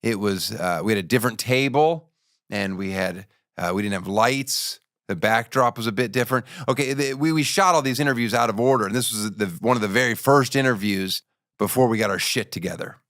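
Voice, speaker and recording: A man's voice: fairly low pitch, a little fast, a bit bright, no room sound. Joking male podcast host, dry sound. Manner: joking, teasing, blunt. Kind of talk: comedic podcast banter.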